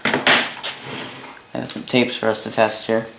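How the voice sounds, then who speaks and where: A Canadian man casually demonstrating gear in an unscripted, halting way, thinking aloud as he goes. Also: fairly low pitch, completely flat delivery, creaky, bright, forceful tone. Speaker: hobbyist presenter demonstrating a vintage boombox. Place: indoor room at a workbench by a window. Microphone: camera mic a short distance away. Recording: roomy, with noise and clicks.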